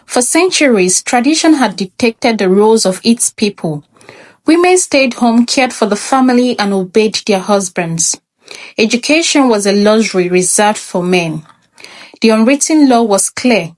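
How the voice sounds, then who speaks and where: A woman reading a scripted folktale as a storyteller, measured and expressive. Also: on the high side.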